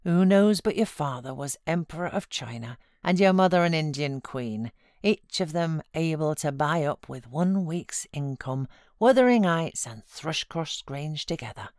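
A woman's voice: low voice, animated, darkish tone, dry recording, studio quality. A British woman voicing a motherly housekeeper who coaxes and scolds frankly in a storybook reading. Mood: earnest encouragement. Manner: brisk advice, coaxing and chiding.

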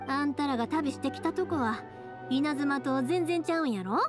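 A woman's voice: sits high, moderate pace, lightly acted. A woman playing a spirited, caring young fireworks maker in a game, speaking reassuringly with a Kansai accent. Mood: friendly, thoughtful. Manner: reassuring, friendly, earnest. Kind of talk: video game voice acting. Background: background music.

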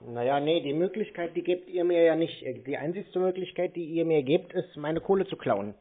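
A man's voice: fairly high pitch, moderate pace. Mocking man on a tinny line. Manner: mocking, blunt, sarcastic. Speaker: scam-baiter prank call host. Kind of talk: confrontational phone conversation. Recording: tinny narrow phone-like sound.

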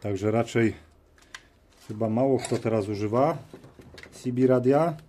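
A man giving casual hands-on commentary, musing aloud in short, halting phrases as he works at a workshop bench. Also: mid-range voice, warm tone. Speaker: host of a DIY teardown video. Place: workshop at a cluttered metal bench. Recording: noisy workbench with clicks and clatter. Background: tool clicks and metallic clatter.

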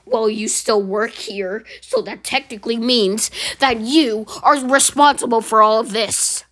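A woman voicing an angry male customer who speaks slowly and accuses sternly. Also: a little high, steady tone, fairly bright tone.

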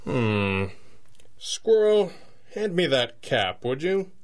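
A calm masked-hero boss explains things in a radio adventure. His voice is medium in pitch, measured and assured, with a shrewd, thoughtful manner. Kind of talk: 1930s-style radio drama acting.

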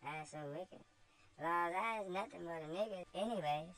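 A woman puts on a mischievous young girl, blunt and defiant, speaking in broad dialect. The voice sits well above the reader's own low range and stays fairly loud. Her lines come flat and matter-of-fact. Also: normal speed.

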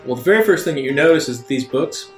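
A man's voice: on the high side, animated, fast talker. Man running a tabletop game, echoey room. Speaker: game master. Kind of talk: improvised tabletop game narration. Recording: echoey room, music underneath. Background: soft guitar music.

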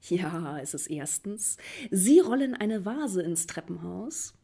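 Playful woman with low, husky voice. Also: animated, takes it slowly, mellow. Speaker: actress and writer, guest. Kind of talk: casual chat. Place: living room, indoors.

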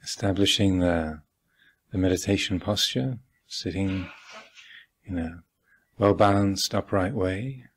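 A man with a husky voice guiding a meditation as a teacher, speaking calmly and deliberately with long pauses. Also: sits low. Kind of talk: guided meditation instruction. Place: meditation hall at a retreat.

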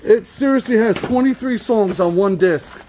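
Excitable store host chatting thru an unboxing, repeats stuff, bit of noise n clicks on handheld cam. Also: medium pitch, moderate pace. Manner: excitable, repeats phrases for emphasis. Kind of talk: casual unboxing chat. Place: store back room with shelves and boxes. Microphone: handheld camera mic. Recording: clicky, noisy handheld recording.

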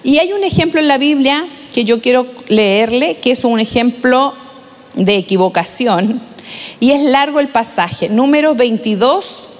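A middle-aged woman pastor preaching and reading scripture aloud at a measured pace, into a handheld microphone on a large church stage. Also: sits high. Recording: long hall reverb.

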